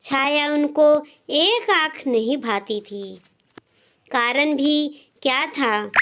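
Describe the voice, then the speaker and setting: A woman narrating a scripted moral story as a storyteller, in deliberate phrases with frequent pauses. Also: high-pitched, lively intonation, fairly bright tone.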